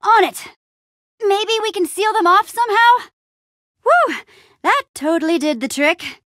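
Young female game adventurer: high, very animated, plucky and expressive. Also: takes it slowly, dry recording, clean studio sound, exaggerated voice. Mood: excited. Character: spirited young female adventurer and town leader. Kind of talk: video game voice acting.

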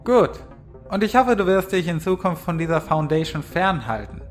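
Higher-voiced, threatening henchman, played by a man. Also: animated, normal speed, faint music behind. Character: threatening male henchman, smug, trigger-happy. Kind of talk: audio drama acting.

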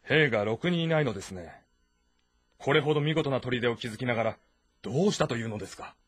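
A man playing a respectful, frank young officer of ancient China with a husky voice, formal and direct. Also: medium pitch, animated, a little fast, fairly bright tone, dry recording, clean studio sound. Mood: earnest. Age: young adult. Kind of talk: dramatic game voice acting.

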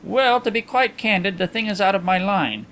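Brief: male narrator playing an affable coin dealer, relaxed and knowing, light hiss. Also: high-pitched, moderate pace, pitched above the performer's own voice. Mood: candid. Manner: easygoing, chatty, knowing. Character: genial shrewd male coin dealer, middle-aged.

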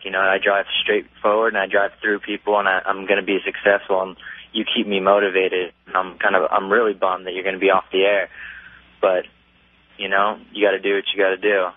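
A man calls in to a radio show over a narrow, tinny phone line. His voice is on the low side and very flat in melody, with earnest, casual, repetitive phrasing. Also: no echo.

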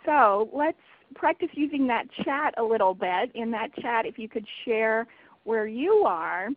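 Bright upbeat lady hosting a webinar, highish voice, tinny phone sound. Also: expressive, unhurried, no room sound. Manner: upbeat, enthusiastic. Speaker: webinar host.

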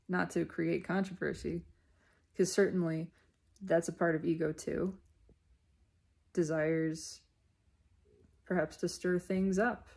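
A woman giving an unscripted, reflective spiritual monologue in deliberate, halting phrases with emphatic repetition. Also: on the low side, gravelly, dark tone. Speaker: speaker sharing personal spiritual reflections.

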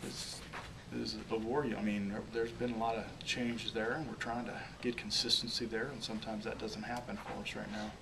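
A man's voice: on the low side, flat delivery, moderate pace, creaky, hardly any pauses. A man in his forties, a head football coach answering questions at a postgame press conference, often restarting and repeating words as he searches for phrases. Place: small press room, in front of a logo backdrop. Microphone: table microphone on a stand. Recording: distant mic, audible room noise.

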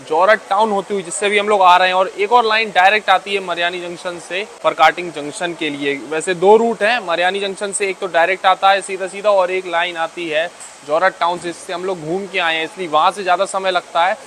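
A man's voice: high voice, steady tone, fairly quick, a bit bright, slight background noise. A man vlogging a train journey, chatting casually with his viewers and explaining stations and routes as he travels. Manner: chatty, addresses viewers as bhai. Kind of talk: casual vlog narration. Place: aboard a moving train and on station platforms.

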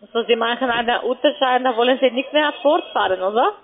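Woman, raised pitch, questioning sales caller, non-native broken grammar, thin telephone sound. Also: quick pace. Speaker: call centre agent. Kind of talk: sales phone call. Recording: tinny narrow phone line.

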